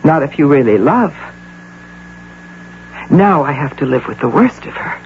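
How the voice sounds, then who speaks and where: A woman's voice: sits low, big pitch swings, measured pace, a little mellow, exaggerated voice. An American actress playing an imperious, middle-aged executive obsessed with motherhood, intense and theatrical in radio drama style. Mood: wistful resolve. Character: imperious, obsessive executive woman, desperately devoted imaginary mother. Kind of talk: 1980 radio drama acting. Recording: tinny, hissy old radio broadcast with hum.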